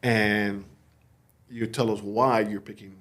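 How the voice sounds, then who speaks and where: A man's voice: lowish voice, steady tone, slow, a bit bright. A man hosting a podcast, chatting casually with a playful, teasing manner and easy laughter. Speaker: podcast host. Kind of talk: casual interview chat. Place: podcast studio.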